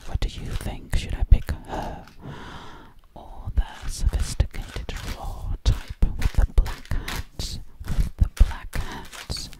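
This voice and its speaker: A woman voicing a sinister, obsessive witch in a close, breathy ASMR roleplay, repeating words hypnotically. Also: slow, close to an everyday voice. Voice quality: breathy whisper. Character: sinister, obsessive female witch hunting for victims. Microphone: close microphone.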